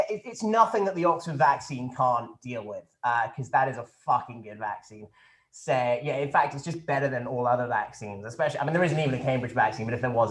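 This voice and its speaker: A man's voice: medium pitch, a bit bright, lightly acted. Man playing a posh pompous minister, rambling drawl, video call audio, room echo. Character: pompous posh male government minister, flippant. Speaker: politician taking questions. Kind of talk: satirical press conference sketch.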